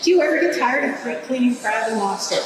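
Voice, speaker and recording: A woman's voice: a little high, animated, quick pace. A woman co-hosting a live event, reading viewer comments in a chatty, teasing way. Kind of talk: casual live chat. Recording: echoey room, far from mic, loud background noise.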